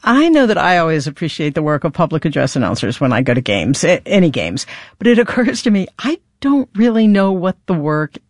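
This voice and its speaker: A woman's voice: on the low side, very animated, a little fast, dry recording. An American woman hosting public radio in a studio, moving between scripted narration and interview questions on a close mic. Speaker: radio host interviewing a guest. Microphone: close studio microphone.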